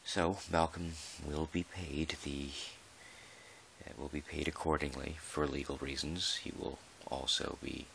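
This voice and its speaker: A man playing a measured, dry park employee who dictates an official audio log in a halting, deliberate way, in ASMR roleplay style. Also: extremely low pitch, steady tone, gravelly, fairly bright tone, slight background noise, heavily acted, poor audio. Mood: dry, careful. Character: male dinosaur park employee, measured, dryly put-upon record keeper.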